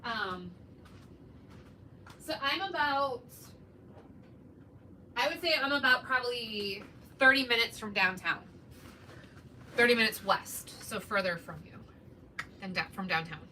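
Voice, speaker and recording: A woman in her thirties with a soft, relaxing Midwestern voice, chatting casually with viewers during a crafting livestream. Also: a little high, a little slow, pauses often. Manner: chatty, greets viewers while working. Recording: distant mic with background noise.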